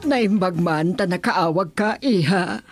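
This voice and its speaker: A woman playing a worried, determined mother and grandmother in a radio drama, husky-voiced, with an Ilocano Filipino accent. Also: fairly low pitch, unhurried, no echo, faint music behind. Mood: concerned, determined. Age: middle-aged.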